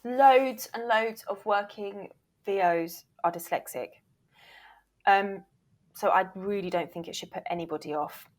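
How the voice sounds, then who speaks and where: A woman's voice: medium pitch, unhurried. Encouraging, emphatic woman in interview. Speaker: interview guest, voiceover reel producer and former agent. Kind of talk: casual interview talk, giving advice.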